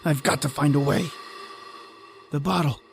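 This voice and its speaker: A man playing a desperate, grieving man in an old-time horror radio drama, overwrought and halting. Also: on the high side, fairly even intonation, vocal fry, a little hiss or hum. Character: anguished desperate man, grieving, defiant against a monster.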